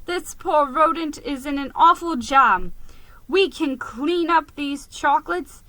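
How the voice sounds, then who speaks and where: Woman as an earnest, bright-voiced mouse hero. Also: sits high, animated, slow, dry recording, voice pushed up, heavily acted, poor audio. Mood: eager appeal.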